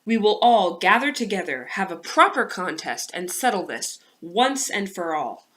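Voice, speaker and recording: A woman's voice: medium pitch, big pitch swings, moderate pace, fairly bright tone. A woman voicing a tired, commanding old man in a children's storybook. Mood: fed up.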